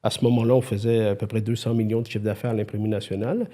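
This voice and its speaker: A man's voice: on the low side, racing. A middle-aged man from Quebec with a Québécois accent, telling stories as a guest in a casual conversation. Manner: lively, animated storyteller, frequent restarts. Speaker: podcast guest, IT executive.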